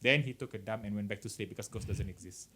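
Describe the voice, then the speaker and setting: Male podcast co-host, Malaysian accent, relaxed conversational storytelling, code-switches between English and Malay. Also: on the low side, quick pace, slightly rough. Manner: mixes English with Malay, explaining as they go. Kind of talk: casual chat, reading out listener horror stories.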